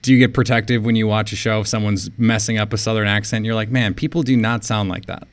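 A man's voice: lowish voice, steady tone, fast. An American man interviewing a guest casually, chatty and given to long, meandering questions. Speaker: interviewer, sports show host.

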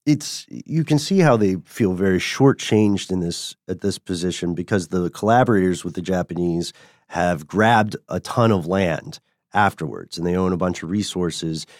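A man co-hosting a podcast, telling a story in a casual, animated way and often checking in with "right?". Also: no echo, clean studio sound. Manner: animated, rising pitch when excited, frequent "right?" check-ins. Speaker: podcast co-host.

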